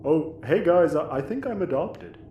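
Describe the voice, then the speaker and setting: Clueless oddball spaceship crewman, male actor, soft mellow tone, lively swooping delivery, audio comedy. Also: a little high, moderate pace, dull audio, lightly acted. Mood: earnest rambling. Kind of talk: sci-fi audio comedy acting. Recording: roomy echo, faint background noise.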